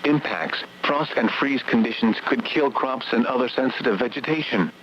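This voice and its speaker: A man's voice: mid-range voice, a little hiss or hum. Synthetic male weather radio announcer, muffled. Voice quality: synthetic text-to-speech voice. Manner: formal, measured, segmented reading. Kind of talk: scripted weather warning bulletin.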